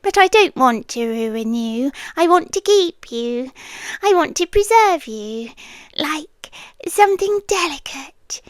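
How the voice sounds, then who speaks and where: A possessive British yandere landlady acted by a woman in an intimate ASMR roleplay. Her high, soft, breathy voice moves very slowly and often slips toward a whisper. She coaxes with quiet menace, very close and dry on the mic. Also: expressive, no room sound. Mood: obsessive, menacing. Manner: slow, intimate, coaxing, possessive. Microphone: close, dry microphone.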